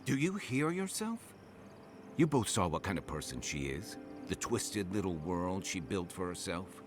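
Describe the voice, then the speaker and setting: A man's voice: sits low, very animated, measured pace, over music. A man acting a principled, troubled companion in a game cutscene, earnest, blunt and confrontational. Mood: challenging, troubled.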